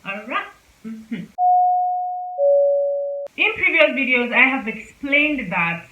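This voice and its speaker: Woman host, chatty teacher who drills sounds aloud, bright tone, roomy echo. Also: a little high. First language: not Korean. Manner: chatty, repeats sounds for practice. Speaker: language teacher, channel host. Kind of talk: casual online language lesson.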